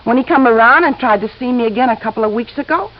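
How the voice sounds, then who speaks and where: Actress as high-voiced, slangy gun-moll widow. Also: female voice, close to an everyday voice. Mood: bitter. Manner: plain, slangy, halting and defensive. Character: young working-class widow, defensive gun-moll type. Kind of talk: 1950s radio drama acting. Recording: muffled old radio broadcast.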